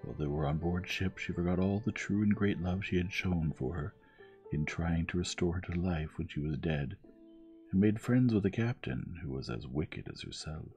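Deep-voiced man gently reading a bedtime story. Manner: soft, measured, soothing reading. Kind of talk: gentle scripted storybook narration. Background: music.

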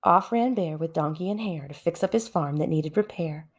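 A woman reading a children's story aloud with expressive narration. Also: deep voice, normal speed, breathy edge. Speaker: storybook reader.

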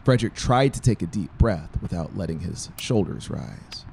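Low, gravelly male audiobook narrator. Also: animated, moderate pace, a little mellow, a little hiss or hum. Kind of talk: scripted fiction narration. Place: small brick-walled room, indoors. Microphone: close dynamic mic on boom stand. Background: faint music.

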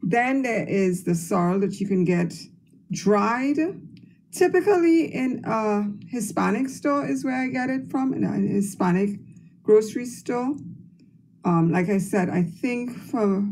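A woman's voice: a little high, lively intonation, slow, a little mellow, low-quality recording. A woman, explaining a drink recipe step by step in a recorded cooking demonstration. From Trinidad and Tobago.

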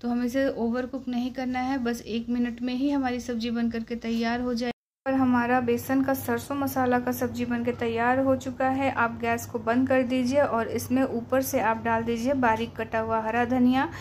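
A woman's voice: on the high side, little pitch movement, moderate pace, a little mellow, slight background noise. A woman with an Indian accent giving a step-by-step cooking tutorial voice-over as a home cook. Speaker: home cook presenting a recipe.

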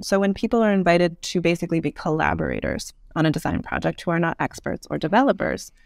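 A woman's voice: lowish voice, creaky, darkish tone, no room sound, clean studio sound. A woman explaining her ideas as an interview guest, articulate and conversational. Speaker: guest, urban design expert. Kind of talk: explanatory podcast interview answers.

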